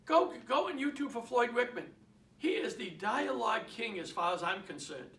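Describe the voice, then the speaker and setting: A man with a Boston accent coaches real estate agents, pressing his points again and again and throwing questions at his listeners. His voice sits high and sounds bright and forceful. He is recorded from a distance in a roomy, echoing space. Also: very animated, normal speed. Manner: emphatic, repeats points, asks the audience questions. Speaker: real estate sales trainer. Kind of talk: motivational sales talk. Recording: roomy, from off the mic.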